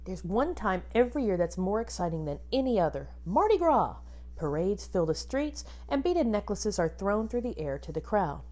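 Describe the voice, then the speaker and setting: A woman teacher reading a picture book aloud to children expressively, pausing to ask them questions and point things out. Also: medium pitch. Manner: animated, addresses young children with questions.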